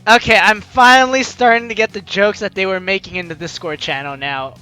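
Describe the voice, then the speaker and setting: A man's voice: high-pitched, fairly quick, smooth. A man doing casual let's play commentary, reading game text aloud and adding teasing, sarcastic asides. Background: soft game music.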